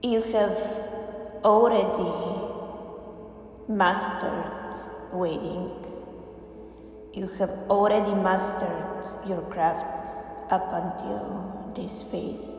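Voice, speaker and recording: A woman leading a guided meditation, speaking in deliberate phrases with frequent pauses. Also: medium pitch, steady tone, a little mellow. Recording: very echoey, long reverb, faint music. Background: faint background music.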